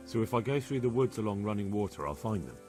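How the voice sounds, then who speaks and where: A man's voice: fairly low pitch, lively intonation, quick pace, over music, close to an everyday voice. A man playing an earnest young medieval investigator in video game dialogue, direct and full of questions. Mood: earnest, inquiring. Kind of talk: conversational video game acting.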